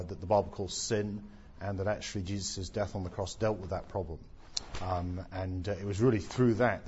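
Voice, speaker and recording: Middle-aged english guy, husky lowish voice, dry jokes, lots of ums, bit muffled. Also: darkish tone. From England. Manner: self-deprecating, dry humour, many ums and uhs. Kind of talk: onstage interview, personal testimony.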